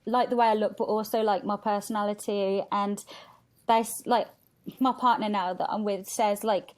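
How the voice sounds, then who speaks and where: A woman's voice: mid-range voice, steady tone, normal speed. A woman talking candidly and casually as a podcast guest, chatty and acting out quotes as she tells stories. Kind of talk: candid casual interview chat.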